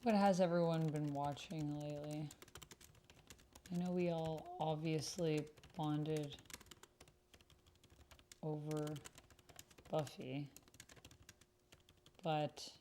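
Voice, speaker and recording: A woman's voice: low-pitched, fairly even intonation, slow pace, pauses often, warm tone, slight background noise. A woman giving casual commentary as she types and reads chat aloud. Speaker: host of a solo roleplaying video. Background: computer keyboard typing.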